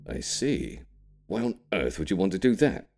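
A man plays a cryptic old grandfather with an extremely low voice. He tells grave audiobook tales of a wartime childhood, measuring his words with an ominous care. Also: sing-song, gravelly, darkish tone, soft background music, heavily acted. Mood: guarded concern. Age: older. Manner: careful, measured, ominous storytelling. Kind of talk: audiobook character storytelling.

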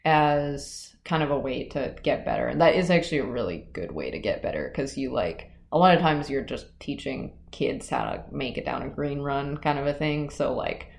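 A young woman with a very low, gravelly voice talks softly and loosely, filling her sentences with little hedges. The room around her rings with a noticeable echo. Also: normal speed, hardly any pauses. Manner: quiet, hedging, many fillers. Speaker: climbing guide. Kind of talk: casual interview chat. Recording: roomy echoey recording.

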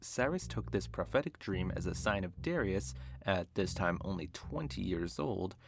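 A man's voice: deep voice, expressive, moderate pace, gravelly. A man hosting a podcast, narrating history from a script with wry, jokey asides. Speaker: podcast host. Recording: music bed behind the voice. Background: guitar music.

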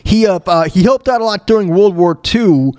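A man hosting a talk show, giving blunt, emphatic opinions on news clips in a halting, repetitive, rambling way. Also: high voice, a little mellow. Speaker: show host.

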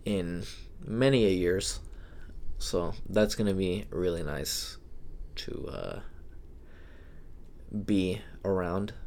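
A young man with a very low, gravelly voice talks slowly, trailing off and pausing often. He sounds soft-spoken and unhurried in a casual podcast chat, with faint background noise under him. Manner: slow, halting, soft-spoken with many pauses. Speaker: podcast co-host.

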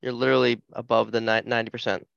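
Gravelly Boston man, lo-fi dry mic. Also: mid-range voice, little pitch movement, rapid-fire, fairly bright tone, no echo. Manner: short punchy agreements.

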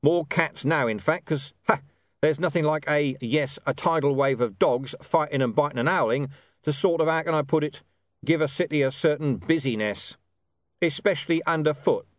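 A man voicing a sardonic, menacing police commander with halting, mock-casual sarcasm in a story. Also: on the high side, no room sound, clean studio sound, pitched above the performer's own voice, natural voice. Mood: sarcastic.

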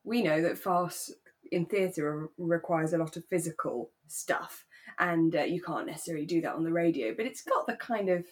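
A woman with a slightly low, husky voice chats as a podcast co-host. She talks in a relaxed, conversational way, working out her thoughts as she goes and checking for agreement. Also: normal speed. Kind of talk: relaxed chat discussing a radio drama.

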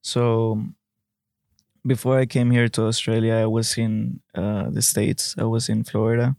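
A young man co-hosting a faith podcast, speaking in a measured way with pauses. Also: lowish voice, level tone, creaky, darkish tone, dry recording. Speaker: podcast co-host. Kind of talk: casual chat.

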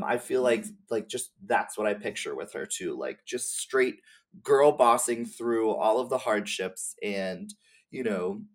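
A man talking casually as a podcast guest, in an effusive, slangy way. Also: lowish voice. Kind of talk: casual chat.

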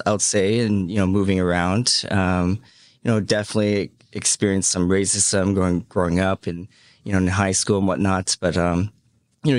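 A man in his thirties from Hong Kong speaks in a deep, easygoing voice as a podcast guest. He tells his own story haltingly, with many small pauses and fillers. The sound is close and dry, with no room around it. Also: no echo. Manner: halting, full of "um" and "you know", easygoing. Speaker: podcast guest, media entrepreneur. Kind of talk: casual life-story interview.

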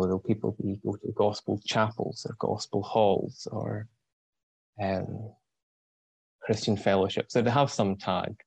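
A young man in his twenties with a Scottish accent, a guest on an interview, explaining things thoughtfully with frequent pauses and ums. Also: on the low side, a little mellow, dry recording. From Scotland. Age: 20s. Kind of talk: casual explanatory talk.

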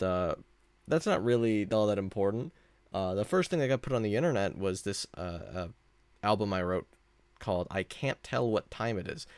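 Deep-voiced man with creaky, animated delivery. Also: no echo. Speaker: podcast host. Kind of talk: casual solo podcast monologue.

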